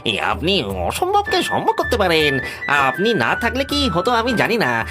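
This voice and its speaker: Flustered, stammering, high-pitched estate steward played by a man. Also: huge pitch swings, a little slow, exaggerated voice. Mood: admiring. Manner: agitated, stammering, fawning. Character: anxious, flustered, deferential male estate steward. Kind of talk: Bengali cartoon voice acting. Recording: music behind the voice.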